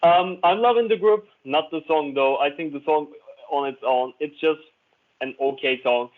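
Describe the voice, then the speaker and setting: A man's voice: fairly high pitch, normal speed. A man hosting a casual group discussion, heard through a tinny, band-limited connection. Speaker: host.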